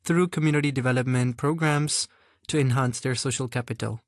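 A man's voice: mid-range voice, fairly even intonation, fairly quick, no echo. Earnest young man, dry close sound. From Hong Kong. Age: young adult. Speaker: guest. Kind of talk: interview answers.

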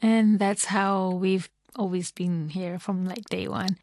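A woman's voice: mid-range voice, steady tone, slow, a little mellow, a little hiss or hum. A woman in her thirties from Hong Kong, answering interview questions as a small-business owner in long, chatty explanations.

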